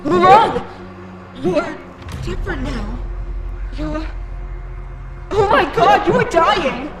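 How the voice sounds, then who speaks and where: A woman's voice: extremely high pitch, lively intonation, extremely slow, over loud music, exaggerated voice. A woman acting a desperate, stubborn young fighter in an anime-style fan drama, with strained and urgent delivery. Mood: urgent, pleading.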